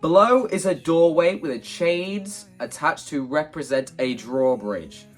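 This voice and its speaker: A man's voice: fairly high pitch, a little slow, faint music behind. A man with a slightly congested, hay-fever voice, talking informally and self-deprecatingly as he explains his creative plans in a casual vlog. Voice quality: slightly stuffy, congested nose. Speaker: video creator presenting a stage play concept.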